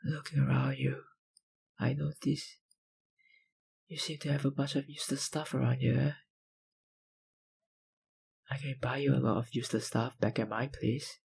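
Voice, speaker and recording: An actor playing a possessive, powerful vampire kidnapper in an ASMR roleplay, breathy and husky, with a dominant, condescending manner. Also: male voice, mid-range voice, flat delivery, moderate pace, pauses often, a little mellow, heavily acted. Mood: smug, coaxing. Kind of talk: ASMR roleplay, dramatic monologue.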